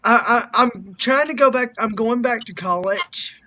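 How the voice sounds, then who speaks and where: A man in his twenties chatting casually on a live stream, excitable and swearing freely. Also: very high voice, unhurried, a little hiss or hum. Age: 20s. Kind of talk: casual chat.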